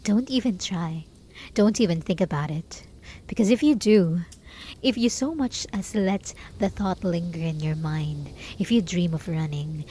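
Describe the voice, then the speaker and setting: Casting: actress as possessive hermit enchantress for ASMR roleplay; mellow, intimate, whisper-prone, desperate and lovesick, faint noise behind. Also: female voice, fairly low pitch, natural voice, poor audio. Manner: soft, slow, intimate, often dropping into whispers. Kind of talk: ASMR roleplay, scripted dramatic monologue.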